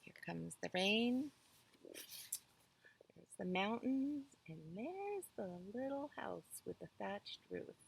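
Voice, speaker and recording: A woman reading a children's story aloud with expressive, deliberate phrasing. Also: medium pitch, creaky, slight background noise, rough recording.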